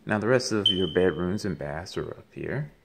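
A man gives a casual walking tour of an empty house as a realtor, talking haltingly with many ums and uhs, filmed on a handheld phone. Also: deep voice, animated, noisy background. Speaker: realtor giving a home tour. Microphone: handheld phone camera. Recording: echoey empty rooms.

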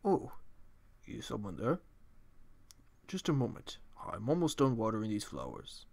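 A man voicing a gentle, sorrowful, fatherly old goat-monster king from a video game, soft and measured. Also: fairly low pitch, huge pitch swings, creaky, voice pushed down, heavily acted. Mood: gentle sadness. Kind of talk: video game character impressions.

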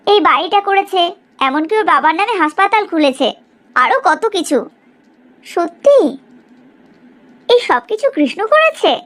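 A woman voicing a proud, sharp-tongued middle-aged village mother in a cartoon story, in an exaggerated, gossipy way. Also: extremely high pitch, very animated, normal speed, long pauses, heavily acted. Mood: boastful, reproachful. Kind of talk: Bengali cartoon story dialogue.

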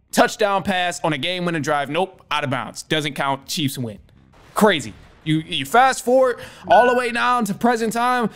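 A man's voice: sits high, a bit bright. A young man hosting a sports podcast from a home studio, reacting emphatically with lots of restarts and repeated phrases. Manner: emphatic, repetitive, stammering restarts. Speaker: podcast host. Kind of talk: casual sports commentary. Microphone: studio mic with headphones.

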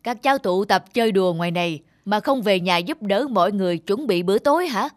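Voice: medium pitch, lively intonation, fast, lightly acted. An actor voicing a protective older kitchen character in a children's cartoon, admonishing in a caring way. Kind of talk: animated children's story acting. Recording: loud music behind.

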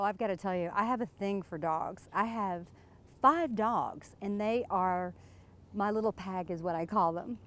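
A woman's voice: medium pitch, a bit bright, natural voice. An American woman, probably in her thirties, playing a flirty, giggly, boastful glamorous wife in an improvised, gushing mock interview. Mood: coy. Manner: coy, gushing, suggestive, giggly. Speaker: interviewee in character. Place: outdoors beside a lake.

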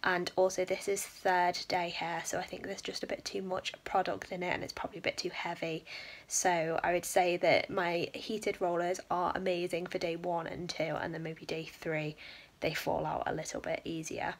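A young woman chatting casually to her phone camera in her bedroom, often keeping her voice hushed. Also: fairly low pitch, flat delivery, moderate pace. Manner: soft chatty, often hushed to a near whisper. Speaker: vlogger. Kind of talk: casual vlog chat. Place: bedroom, indoors. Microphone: phone held at arm's length.

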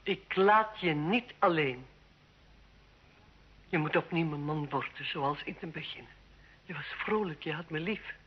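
A woman's voice: low-pitched, unhurried, pauses often, husky. An actress playing a proud, bitter, lonely noblewoman wife in a theatrical, reproachful manner in an old Dutch radio drama. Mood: pleading, sorrowful. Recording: dull old radio play recording.

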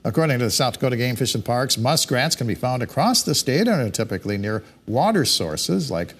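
A male news anchor reads the day's stories from a television studio desk. His voice is mid-pitched and a little husky, and his delivery stays steady and measured. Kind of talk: scripted news reading.

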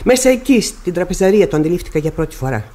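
A woman's voice: on the low side, very animated, mellow. An actress playing a proud middle-aged widow and mother in Greek radio theatre, speaking formally, intensely and dramatically. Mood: anguished, intense.

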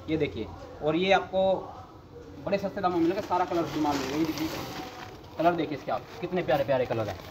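A man's voice: on the high side, background noise. Eager young man giving a sales walkthrough. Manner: eager salesman patter, repeatedly urging viewers to look. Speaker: vlogger showing wholesale clothing stock. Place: large indoor warehouse full of clothing bales.

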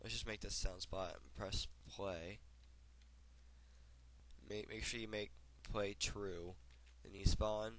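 A young man with a head cold talks through a software tutorial in a loose, rambling way. His voice sits very deep, and he fills pauses with ums and uhs. He sounds like a casual home creator at his desk. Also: fairly even intonation. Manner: casual, rambling with fillers and restarts. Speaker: tutorial host. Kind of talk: casual screen-recorded tutorial.

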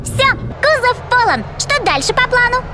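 A woman voicing a curious, excitable little girl sidekick in a children's cartoon, exclaiming and asking questions. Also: very high voice, moderate pace. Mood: excited. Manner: exclamatory, inquisitive. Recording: noisy engine sounds behind.

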